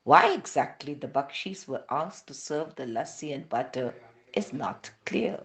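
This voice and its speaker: A woman with an Indian accent narrates a devotional story from a script. Her voice sits quite low for a woman, yet it rises and falls in a very animated way. The phrasing is measured and deliberate. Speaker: reader of devotional testimonies.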